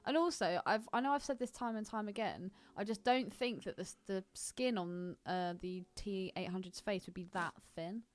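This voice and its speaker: A woman co-hosting a podcast in casual, irreverent chat, speaking in an expressive, blunt way. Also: mid-range voice, normal speed, few pauses, faint music behind. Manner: expressive and blunt, prone to exclaiming and giggling. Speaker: podcast co-host.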